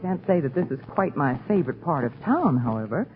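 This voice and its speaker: An actress playing a plucky, excitable young woman, a sleuth's companion, in a 1930s radio drama. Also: sits low, brisk, a little hiss or hum. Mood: uneasy, determined. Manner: teasing, turning anxious and dramatic. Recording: dull old 1930s radio recording with music.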